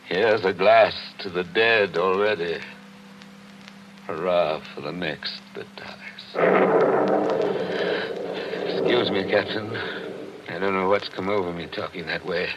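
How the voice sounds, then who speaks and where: A man's voice: sits low, slow pace, pauses often, very noisy. An actor playing a brooding, nostalgic man in a wistful, theatrical 1940s radio drama style. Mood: haunted, nostalgic. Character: Joe Parker, brooding nostalgic man haunted by old memories. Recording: dull vintage radio recording with music.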